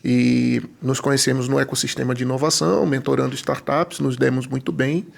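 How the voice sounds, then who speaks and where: A middle-aged Brazilian man speaks as a guest in an interview. His voice sits at an average male pitch and is full of heavy vocal fry, and his delivery is conversational. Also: level tone, brisk. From Brazil, born in Rio de Janeiro, grew up in Salvador, Bahia. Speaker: podcast guest, financial consultant.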